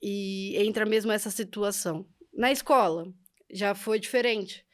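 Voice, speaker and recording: A woman's voice: mid-range voice, measured pace. A young woman with a Brazilian accent telling her experiences casually and chattily as a podcast guest. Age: young adult. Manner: chatty, informal, often laughing. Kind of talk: casual conversational storytelling.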